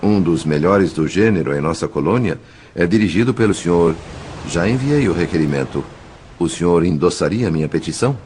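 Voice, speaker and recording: Deep and measured, this is an earnest, reverent male spirit telling his tale in an audio drama. The Brazilian delivery is formal and literary, with clear noise behind it. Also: expressive, a little mellow. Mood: earnest, respectful. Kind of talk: scripted audio drama narration.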